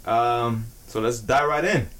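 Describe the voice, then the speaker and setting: A man's voice: mid-range voice, measured pace, fairly bright tone. A man co-hosting a podcast in a casual, informal and jokey way. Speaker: podcast co-host.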